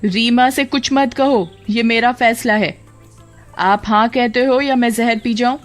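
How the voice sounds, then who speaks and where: An excitable young wife, played by a woman storyteller, stubborn and pleading in a cartoon family drama. Her voice lifts high above an everyday pitch, with music underneath. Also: measured pace, lightly acted. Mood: insistent.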